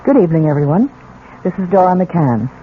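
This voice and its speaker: A woman's voice: fairly low pitch, sing-song, fairly quick. A woman hosts on radio, reading a scripted program promo. The old recording is narrow and tinny, with faint noise.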